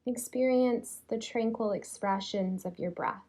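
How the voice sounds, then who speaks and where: A woman leading a guided yoga relaxation in a soothing, unhurried way, leaving long pauses between phrases. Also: fairly high pitch, warm tone. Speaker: yoga nidra teacher.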